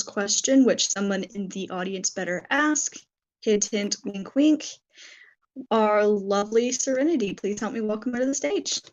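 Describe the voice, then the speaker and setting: A young woman hosting a casual online interview, her voice a little soft and hoarse, talking with many fillers and pauses. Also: medium pitch, rough recording. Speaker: interview host.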